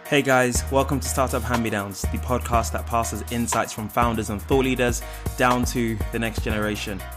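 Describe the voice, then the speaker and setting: A man hosting a podcast, reading a lively intro and then interviewing a guest in a friendly, eager way. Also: medium pitch, moderate pace, music in the background. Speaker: podcast host.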